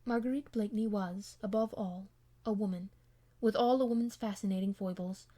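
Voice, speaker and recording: A woman's voice: medium pitch, steady tone, normal speed, dark tone. A woman reading a classic novel aloud as an amateur audiobook narrator, in scripted literary style.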